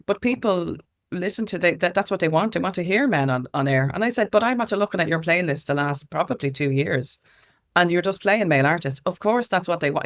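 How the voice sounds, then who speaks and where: Irish woman talking animatedly as guest. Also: on the low side. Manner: informal, emphatic, acts out quotes from others. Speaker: interview guest, music consultant and campaigner. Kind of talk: conversational interview with animated anecdotes.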